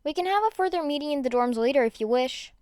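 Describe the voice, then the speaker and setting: Woman as a poised, high-voiced teenage girl. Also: animated, a little mellow, no echo, clean studio sound. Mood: cheerful. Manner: polite, brisk, organized.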